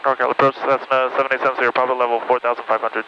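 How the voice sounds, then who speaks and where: Gravelly, bright-voiced male pilot on cockpit intercom. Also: medium pitch, little pitch movement, rapid-fire. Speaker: pilot flying practice approaches. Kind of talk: radio calls and casual cockpit chat. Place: small aircraft cockpit in flight. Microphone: aviation headset. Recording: dull cockpit intercom audio, faint engine noise.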